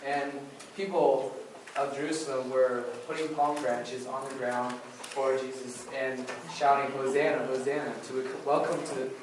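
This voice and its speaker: A man presents informally to an audience in a very echoey room, picked up far from the mic. He speaks in a casual, slightly halting way at a medium pitch, with faint noise behind him. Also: flat delivery. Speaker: puppet show presenter at a church. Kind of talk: casual address to an audience, questions and prayer. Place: church hall, recorded from the audience area.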